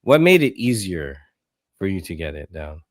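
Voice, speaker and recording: A man hosting a podcast, asking questions in a relaxed, easygoing way with plenty of uhs. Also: deep voice, moderate pace, no echo, clean studio sound. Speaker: podcast host. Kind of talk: casual interview chat.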